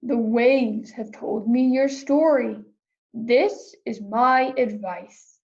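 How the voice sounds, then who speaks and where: A woman voicing a wise, mysterious female octopus in a storybook read-aloud, speaking slowly and solemnly. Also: fairly high pitch, creaky, darkish tone, lo-fi sound. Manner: slow, solemn, deliberate.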